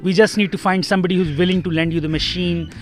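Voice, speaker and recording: A young man from Pakistan answers interview questions in a relaxed way, with some uh and um fillers. His voice sits slightly high. Faint music plays behind him. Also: quick pace. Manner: relaxed, uh and um fillers, laughs lightly. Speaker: guest.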